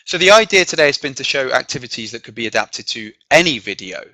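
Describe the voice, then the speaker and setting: Bright-voiced English man running a webinar. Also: medium pitch, lively intonation, fast talker, no room sound, poor audio. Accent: mixed southern and northern English. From south of England. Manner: frequent "okay?" check-ins and filler ums. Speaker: webinar presenter, teacher trainer. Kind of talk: online teaching webinar. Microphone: computer microphone on a video call.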